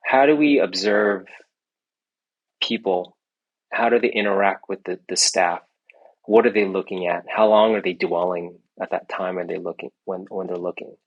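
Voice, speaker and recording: A man's voice: low-pitched, moderate pace, dull audio. A middle-aged man, an entrepreneur guest in a casual interview, rambling with many restarts and tag questions. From United States.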